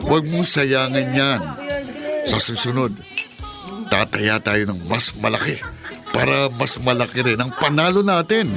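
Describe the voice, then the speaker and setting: A man's voice: medium pitch, expressive, measured pace, gravelly. Pushy, worldly man goading a friend, music behind. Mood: cajoling. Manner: cajoling, goading. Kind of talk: radio drama acting.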